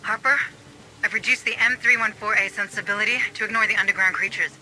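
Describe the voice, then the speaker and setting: An actress playing a capable station administrator who directs marines in a brisk, commanding way in a video game. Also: female voice, mid-range voice, fairly even intonation, pushed and bright, a little hiss or hum, poor audio. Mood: focused. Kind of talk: scripted video game acting.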